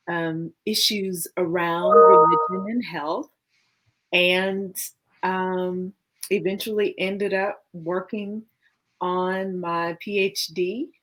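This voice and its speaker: A woman co-hosting a conversation, introducing herself in a reflective, measured way with pauses between phrases. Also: lowish voice. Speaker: co-host, theology scholar.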